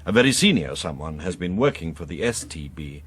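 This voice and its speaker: An actor playing a discreet, composed British intelligence officer in a radio drama, clipped, courteous and precise. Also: male voice, a bass voice, big pitch swings, brisk, a bit bright, breathy edge, heavily acted.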